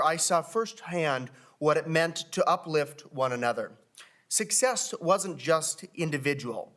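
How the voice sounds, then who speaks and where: A man's voice: a little high, a bit bright. A young man from Minnesota, a student leader, giving earnest prepared testimony into a desk microphone at a legislative hearing. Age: young adult. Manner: earnest, formal, speechlike.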